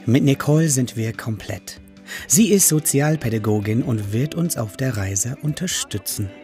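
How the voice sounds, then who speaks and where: A man's voice: medium pitch, animated, moderate pace, mellow. A man giving friendly, scripted documentary narration. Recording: voice-over with music bed.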